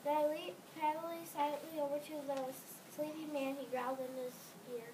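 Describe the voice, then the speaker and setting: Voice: high-pitched, flat delivery, fairly quick. A child of about nine or ten reading storybook narration in a readers theater. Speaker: student narrator. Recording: noisy room, distant microphone.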